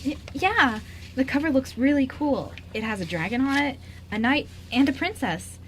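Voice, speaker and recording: A woman playing an eager, lonely five-year-old girl in an amateur radio drama, earnest and slightly halting. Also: sits high, animated. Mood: timid. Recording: noisy amateur radio recording.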